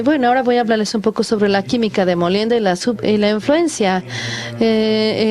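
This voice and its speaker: A woman interpreting a technical conference talk live, speaking haltingly with many pauses and restarts. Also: mid-range voice, a little mellow.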